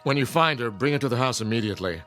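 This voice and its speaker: A man playing a protective, authoritative middle-aged father in a radio drama, brisk and commanding. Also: mid-range voice, lively intonation, a bit bright, faint music behind, natural voice.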